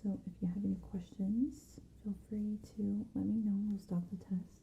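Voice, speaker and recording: Softly whispering woman, gentle ASMR examiner. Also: mid-range voice, little pitch movement, muffled recording, a little hiss or hum. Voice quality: breathy, mostly unvoiced whisper. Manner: gentle, soothing, slow instructional delivery. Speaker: ASMR creator playing a hearing examiner. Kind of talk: ASMR roleplay, soft personal attention.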